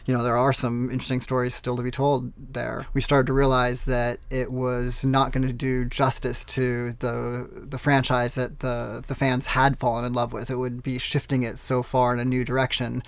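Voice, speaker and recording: A man speaking as a game studio executive in an interview, halting as he thinks out his words. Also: mid-range voice, monotone, smooth, no room sound. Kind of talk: interview talk.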